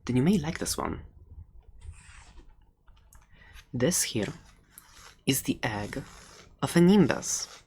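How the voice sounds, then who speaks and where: A woman's voice: very deep voice, expressive, measured pace, a little mellow, husky, heavily acted. A woman playing a playful, friendly dragon egg seller in an improvised ASMR roleplay, rambling hesitantly with little giggles. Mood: playful, informative.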